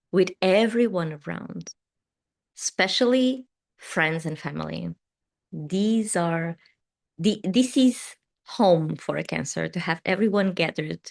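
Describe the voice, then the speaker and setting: A woman with a Portuguese accent speaks English, her second language, in a fairly low voice. She hosts a live tarot and meditation stream, answering comments in a gentle, soothing way, and her sentences often trail off softly. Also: vocal fry. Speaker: tarot reader and meditation host.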